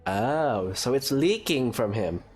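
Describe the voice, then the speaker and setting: A woman's voice: rumbling low, lively intonation, takes it slowly, darkish tone. A woman playing a video game and commenting casually, with wry, informal reactions. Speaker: gamer, let's player.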